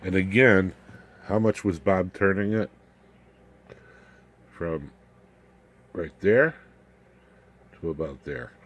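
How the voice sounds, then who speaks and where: A man giving an informal, unscripted electronics demonstration, haltingly, muttering asides as he works with his hands. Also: low-pitched, expressive. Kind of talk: informal unscripted technical show-and-tell.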